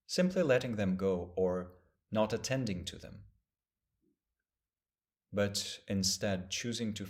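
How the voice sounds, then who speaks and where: Low-pitched man guiding a meditation. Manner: gentle, measured, with long pauses. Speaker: meditation teacher. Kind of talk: guided meditation instruction.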